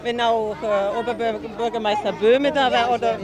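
Male resident, high voice, casual off-the-cuff answers, clear crowd noise behind. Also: flat delivery, moderate pace. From abroad, living in Germany as a foreigner. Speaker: resident being interviewed.

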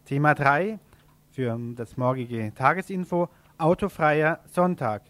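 Higher-pitched man presenting radio announcements. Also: lively intonation, slow, a bit bright, no echo. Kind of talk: reading local event announcements.

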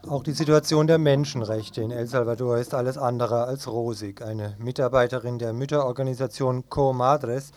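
A man presenting a radio news report, reading scripted narration in a measured, factual manner. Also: medium pitch, steady tone, darkish tone, soft background music.

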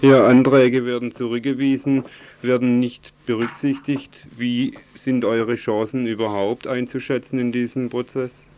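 A man's voice: medium pitch, little pitch movement, a little slow, a little mellow, a little hiss or hum. A man reporting radio news and asking interview questions as a reporter.

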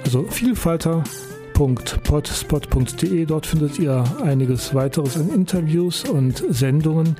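A man hosting a community radio show, announcing in a relaxed, chatty way from the studio. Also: on the high side, a little slow, faint music behind. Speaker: radio host.

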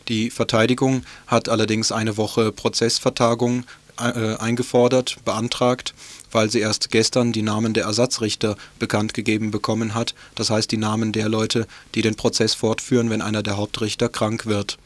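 A man's voice: lowish voice, little pitch movement, normal speed, dry recording. A man working as a radio interviewer and news presenter, asking questions and reporting.

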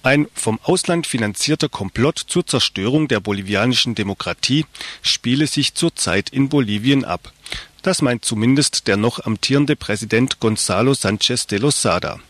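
A man's voice: mid-range voice, animated, moderate pace, fairly bright tone, no room sound. A man presenting a radio news magazine, reading scripted reports and introductions.

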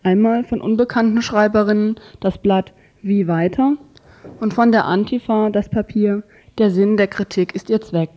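A woman radio presenter reads a scripted report, now and then tripping on a word. Her pitch is average, and the recording is dull and lo-fi. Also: fairly even intonation, normal speed. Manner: reading from script with occasional stumbles. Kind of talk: scripted news report read aloud.

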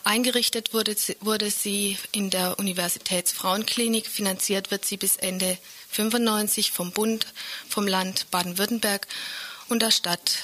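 A woman's voice: medium pitch, fairly even intonation, unhurried, sharp tone. A woman hosting a radio show from a studio, reading a prepared introduction and overview. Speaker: radio host. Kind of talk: scripted programme introduction and topic overview.

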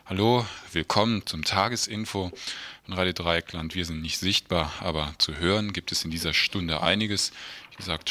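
Male radio presenter, low pitch, crisp forward tone, dry close sound, semi-scripted, slightly halting delivery. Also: sharp tone, no echo. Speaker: radio news magazine host.

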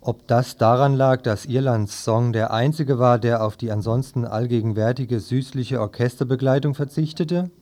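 A man's voice: mid-range voice, normal speed, hardly any pauses, a little mellow. A man reading scripted newspaper commentary for a radio press review.

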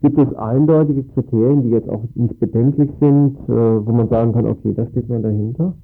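A man with a gravelly, creaky voice at a medium pitch, on a thin narrowband radio recording. He works as the interviewer, building long, reflective questions with some hesitation in an informal discussion. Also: steady tone, brisk.

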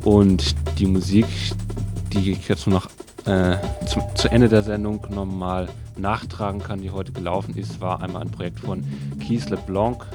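Low-voiced casual man over prominent music. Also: flat delivery, moderate pace. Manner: casual, hesitant, improvising. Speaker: radio presenter. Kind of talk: unscripted event tips and music announcements on local radio. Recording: music playing under the voice.

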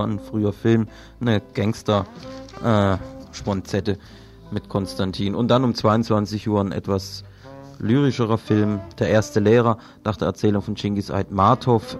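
Male, lower pitch, community radio presenter, event listings, half read, half live, quiet guitar underneath. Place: radio studio. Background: plucked guitar music under the voice.

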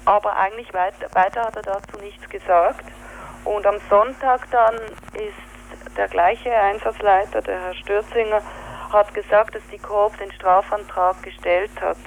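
A woman with a Swiss German accent, telling in an interview what happened, halting with fillers and searching for words. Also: mid-range voice, fairly even intonation, slight background noise, poor audio. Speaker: activist interviewee. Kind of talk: spoken account in an interview.

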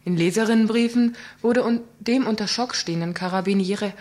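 A woman's voice: mid-range voice, a little fast, darkish tone. Earnest woman reading radio news. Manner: earnest, questioning, with small slips. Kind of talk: reading a scripted news report.

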